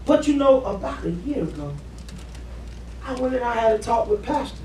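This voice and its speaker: A man playing an earnest, needy husband in a stage play, opening up haltingly to his wife. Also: extremely high pitch, big pitch swings, dark tone. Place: living-room stage set indoors. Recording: roomy, distant camera mic, background noise.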